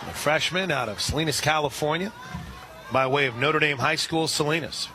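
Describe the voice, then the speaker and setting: A man doing live radio play-by-play of a softball game, relaxed and chatty, lifting his voice on big plays. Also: a little high, moderate pace, noisy background.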